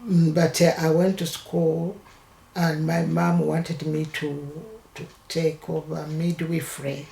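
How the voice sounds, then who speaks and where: Older Ugandan woman, low gravelly voice, hesitant, slow, recorded in an echoey room; oral history interviewee. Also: level tone, warm tone. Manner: hesitant, halting, with many restarts.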